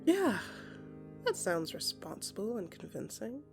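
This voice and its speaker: A woman narrating scripted second-person fiction in an intimate, dramatic way, with a breathy voice that often drops into whispers and deliberate short phrases. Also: medium pitch, big pitch swings, over music. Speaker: podcast creator and narrator.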